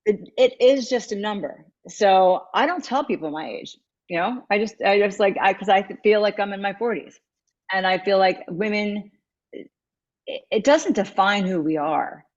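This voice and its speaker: A woman's voice: mid-range voice, moderate pace, muffled recording. A woman in her fifties, a matchmaker and dating coach, talking candidly and reflectively as a guest in an interview. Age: 50s.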